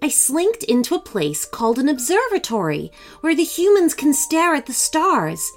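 An eager, clever female alley cat bubbling with big dreams, as a woman storyteller puts her on. Her voice is bright and lively, rising and falling with every line. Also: high voice, moderate pace, faint music behind, voice pushed up, full character voice. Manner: enthusiastic, dreamy.

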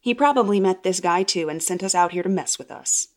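A woman's voice: fairly low pitch, a little fast. A woman reading a first-person horror story as a dramatic narrator.

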